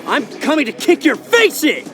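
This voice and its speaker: Cocky, high-voiced, wildly animated young fighter. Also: male voice, normal speed. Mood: outraged. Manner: brash, boastful, slangy. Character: cocky, hot-headed young male martial arts fighter. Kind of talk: English dub of video game cutscene. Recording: loud music behind.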